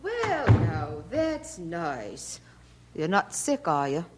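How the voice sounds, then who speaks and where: A woman's voice: lowish voice, huge pitch swings, very slow, very noisy, exaggerated voice. An actress playing a practical, nagging London mother in a radio drama. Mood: mildly concerned. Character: fussy, practical working-class London mother.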